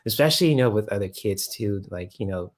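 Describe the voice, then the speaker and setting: A man's voice: lowish voice, lively intonation, a little fast. A man in his thirties hosting a casual live chat, rambling with lots of fillers. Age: 30s. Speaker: host.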